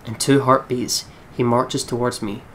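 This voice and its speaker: A man's voice: medium pitch, fairly even intonation, measured pace, slight background noise, poor audio. Young man dramatically reading game narration. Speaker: let's play host.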